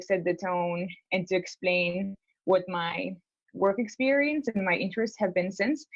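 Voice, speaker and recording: A woman's voice: medium pitch, a little mellow, dry recording, muffled recording. A young woman from Spain, a law school applicant, talking through her plans in a casual consultation call, hesitant with many fillers and restarts.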